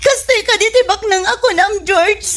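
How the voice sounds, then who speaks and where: A woman's voice: very high-pitched, normal speed, fairly bright tone. A woman playing a boastful, whiny young pregnant woman in an Ilocano comedy radio drama, with an Ilocano accent. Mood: tearful, pleading. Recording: music bed behind the voice.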